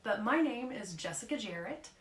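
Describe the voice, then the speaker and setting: A woman, slightly hoarse, giving a casual live talk in a nervous, rambling way with lots of fillers. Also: on the high side, lively intonation, moderate pace, a bit bright, reverberant. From Oklahoma.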